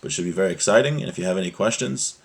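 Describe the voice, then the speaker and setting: A man with a low, husky voice teaches a religious class. He explains a sacred text phrase by phrase in an earnest, didactic manner, often punctuating his points with short rhetorical questions. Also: very fast. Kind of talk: religious lesson, explaining a Hebrew text line by line in English.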